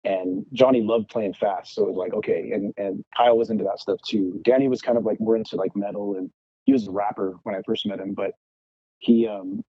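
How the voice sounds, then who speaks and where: Low raspy guy telling stories on a podcast, cusses a lot, kinda muffled dry sound. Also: brisk, dry recording. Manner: profane, animated re-enacting of past arguments. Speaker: podcast guest, punk rock guitarist. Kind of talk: casual storytelling chat.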